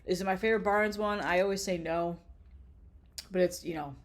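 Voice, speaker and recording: A woman's voice: lowish voice, steady tone, moderate pace. A woman with a stuffy, congested voice, chatting casually and bluntly about her tape collection, rambling with lots of ums and swearing. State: congested with a cold. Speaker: vlogger showing her cassette collection.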